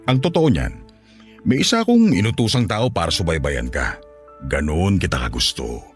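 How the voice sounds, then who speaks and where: An actor playing a lustful, coaxing middle-aged Filipino widower in a Tagalog radio drama. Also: male voice, sits low, big pitch swings, normal speed, soft background music. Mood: smitten, confessing. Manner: suggestive, coaxing, chuckling seducer.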